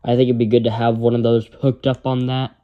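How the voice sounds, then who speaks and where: An American man giving a casual, unscripted product demonstration as an amateur reviewer, rambling and halting in an informal way. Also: mid-range voice, completely flat delivery, phone-quality sound. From United States.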